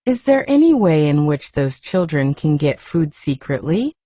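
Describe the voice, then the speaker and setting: A woman voicing a grave, worried male doctor in a storybook, measured and formal. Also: low-pitched, very animated, warm tone, no echo, studio quality. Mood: puzzled.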